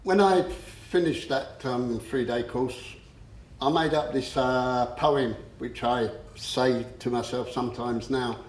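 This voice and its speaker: A man with a stammer gives a personal talk in a slow, unhurried way. He pauses often and fills the gaps with ums. His voice rings around an echoey hall, at a middle pitch. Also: fairly even intonation. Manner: slow, halting, with frequent pauses and fillers. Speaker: speaker giving a talk. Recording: echoey room.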